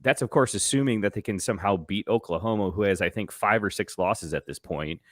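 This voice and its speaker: A man's voice: fairly low pitch, brisk, a bit bright, no room sound, clean studio sound. A man bantering casually about sports as a show panelist, ranting in a sarcastic, profane, exaggerating way.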